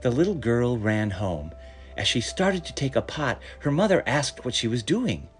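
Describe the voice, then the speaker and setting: A man reading a children's story aloud in a measured, expressive storytelling manner. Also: mid-range voice, faint music behind. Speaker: story reader.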